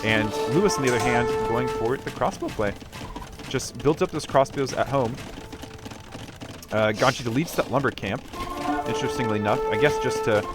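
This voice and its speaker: A man giving casual live commentary on a video game match, analytical and tentative as he thinks aloud. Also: mid-range voice.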